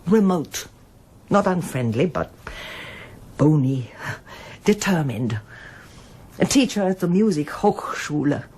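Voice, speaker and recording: An older woman in a radio drama, reserved and wistful. Her voice sits low and has a husky grain. She speaks hesitantly and with understatement, as a one-time performer who keeps much back. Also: sing-song, slow pace, long pauses, a little mellow, exaggerated voice. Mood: subdued, confiding. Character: reserved older woman, former pianist and child refugee, wistful. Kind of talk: radio drama acting, monologue and dialogue.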